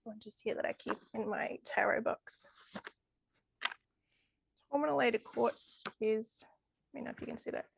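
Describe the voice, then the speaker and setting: A woman's voice: a little high, moderate pace, creaky, pauses often, breathy edge, poor audio. A woman losing her voice, talking enthusiastically about crystal healing as a podcast guest. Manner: enthusiastic, chatty with frequent laughs. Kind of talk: casual podcast interview.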